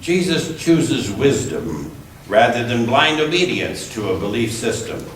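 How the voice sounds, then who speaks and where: A man's voice: medium pitch, very animated. Man reading scripture in an echoing room. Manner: measured, deliberate phrasing. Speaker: scripture reader at a church service. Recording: echoey room, distant mic, faint noise.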